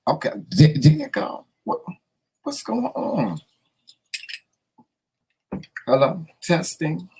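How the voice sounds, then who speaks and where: A hoarse man hosting a casual morning livestream, chatting and teasing his viewers in a folksy way. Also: on the high side, sing-song, slow, pauses often, darkish tone, slight background noise, rough recording. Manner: folksy, teasing, talks to the chat; repeats words and restarts often. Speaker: morning show host.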